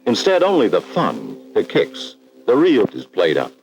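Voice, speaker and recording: A man's voice: medium pitch, huge pitch swings, a little slow, a little mellow, rough recording. An American man narrating a 1960s educational film in a grave, ominous, emphatic scripted style, on a vintage film soundtrack. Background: dramatic background music.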